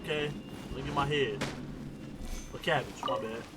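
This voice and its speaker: A man doing casual gameplay reaction commentary as a streamer, excitable and slangy, often repeating his phrases. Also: medium pitch, normal speed, very noisy. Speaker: gaming streamer. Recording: loud game music behind voice. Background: video game audio and music.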